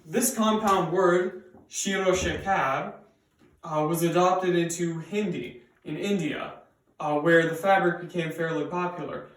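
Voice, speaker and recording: A man's voice: on the high side, fairly even intonation, measured pace. A young man, raised speaking English and Persian, presents a scripted educational video in an earnest, explanatory way with occasional fillers. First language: bilingual, raised speaking English and Persian. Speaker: student presenter. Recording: roomy echo, mic at a distance.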